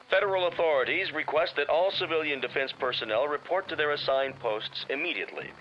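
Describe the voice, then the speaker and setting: An American actor playing a formal, grave male announcer reading an emergency bulletin through a radio filter in a drama. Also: mid-range voice. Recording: dull, noisy old radio broadcast.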